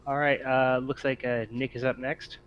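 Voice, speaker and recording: A man acting as game master, improvising tabletop game narration in halting, piecemeal descriptions. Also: medium pitch, level tone, clean tone. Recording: dull lo-fi audio, noisy background.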